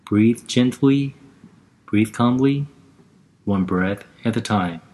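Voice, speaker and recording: A man leads a guided relaxation session in a calm, gentle voice. He talks very slowly and unhurriedly, leaving room between each instruction, with a fairly low voice.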